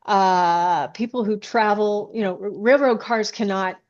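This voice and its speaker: A woman with a mid-pitched voice talks casually as an interviewed author. She speaks haltingly, working out her thoughts as she goes. The audio is somewhat dull and lo-fi. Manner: halting, thinking aloud. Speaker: guest science fiction author.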